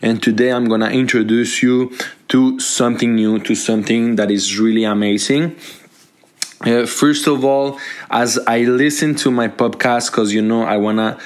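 A man's voice: mid-range voice, steady tone, normal speed. A young Colombian man hosting a casual solo podcast, talking in an upbeat, motivational way into a microphone, with many restarts and fillers. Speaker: podcast host.

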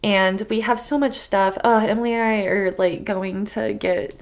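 A woman's voice: mid-range voice, fairly even intonation, moderate pace. A young woman co-hosting a podcast, chatting casually and retelling folktales in a slangy, sweary way, repeating herself for emphasis. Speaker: podcast co-host.

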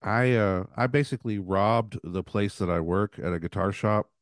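A man hosts a casual music interview, his questions stumbling and circling before they land. His voice sits on the low side. The sound is dry and close. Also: moderate pace, dry recording. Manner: rambling, stumbling questions with many restarts. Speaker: podcast host; works at a guitar shop.

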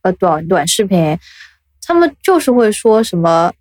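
A woman podcast host chatting casually in a blunt, expressive, animated way. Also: medium pitch, a little slow, no room sound. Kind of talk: casual chat.

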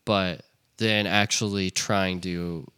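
A man's voice: low-pitched, steady tone, slow pace, sharp tone, clean tone, no echo, studio quality. A young man co-hosting a casual podcast, rambling with lots of restarts and filler words. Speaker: podcast co-host. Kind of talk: casual chat.

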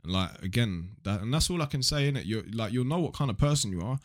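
A man's voice: mid-range voice, animated, fast talker, no room sound, clean studio sound. A young man with a southern English accent chatting casually on a podcast in a blunt, slangy, sweary way. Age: young adult. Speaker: podcast guest, online video creator.

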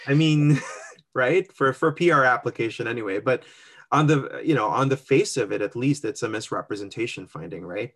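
A man's voice: medium pitch, moderate pace, a bit bright. A chatty man in the middle of his range talks through a form step by step and thinks aloud as he goes. His tone stays casual and easygoing, and he laughs lightly at his own slips. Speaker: co-host walking through an online immigration form. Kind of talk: casual screen-share walkthrough.

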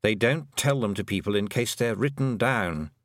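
A man voicing a dry, knowing male talking toad who murmurs in a low, confiding way. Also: animated, normal speed, fairly bright tone, dry recording.

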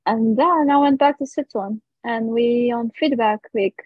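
A woman's voice: on the high side, normal speed, breathy edge. A woman from Portugal with a Portuguese accent, a guest casually telling her own story. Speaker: guest, missionary. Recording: roomy, dull lo-fi audio.

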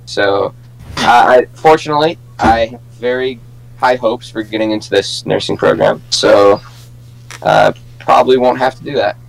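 A man's voice: medium pitch, normal speed, creaky, poor audio. A young man co-hosting a podcast, chatting casually and telling stories among friends. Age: young adult. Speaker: podcast co-host.

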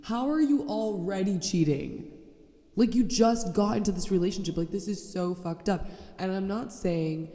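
A woman's voice: medium pitch, moderate pace, mellow. A young woman hosting her own podcast, nasal from a cold, talking in a blunt, sarcastic, swearing rant. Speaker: podcast host. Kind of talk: casual solo podcast rant. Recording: echoey roomy sound, mic held wrong.

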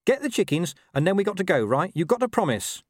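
A scheming, streetwise talking dog from a storybook, played by a man, pitched well up. He wheedles urgently in colloquial speech, in a dry, close recording. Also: sing-song, quick pace, dry recording. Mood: urgent, wheedling.

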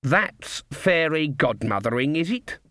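A man's voice: fairly high pitch, lively intonation, a little slow, pushed and bright, no room sound, studio quality, voice pushed up. A man voicing a stern, proud old woman, a bossy and curtly dismissive witch in an audiobook. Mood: disapproving. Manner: curt, dismissive, snapping. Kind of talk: audiobook character voice.

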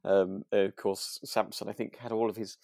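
A man co-hosting a podcast, musing in a relaxed, halting and conversational way. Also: lowish voice, gravelly, no room sound. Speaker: podcast co-host. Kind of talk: relaxed discussion of a radio drama.

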